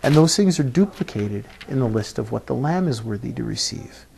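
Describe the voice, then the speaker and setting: A man giving an informal expository sermon as a preacher, halting and thinking aloud, often checking in with a short tag question. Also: mid-range voice, animated, vocal fry, a little mellow, a little hiss or hum. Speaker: preacher teaching a Bible passage.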